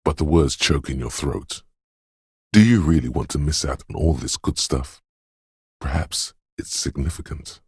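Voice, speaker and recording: A man voicing an eerie, mystical inner voice of intuition in a video game, gravelly, cryptic and ominous, speaking in theatrical second-person narration. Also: moderate pace, dry recording, clean studio sound, exaggerated voice. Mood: sorrowful, foreboding, then cryptic, insistent. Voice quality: very deep, gravelly rasp.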